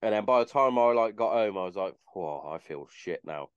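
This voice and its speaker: Deep-voiced man in his thirties. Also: lively intonation, fairly quick, clear, resonant tone, dry recording, lo-fi sound. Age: 30s. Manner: blunt, swears casually, sardonic asides. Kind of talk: casual chat.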